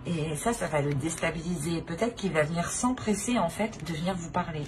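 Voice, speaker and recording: Woman, lowish voice, tarot reader, improvised card reading, hesitant repeated phrasing, noticeable background noise. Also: expressive, a little fast. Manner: hesitant, repetitive phrasing, talking directly to the listener.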